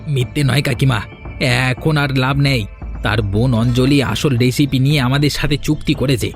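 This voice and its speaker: A man's voice: medium pitch, normal speed, faint music behind, lightly acted. A man voicing a merchant's accomplice who speaks defensively in a cartoon drama.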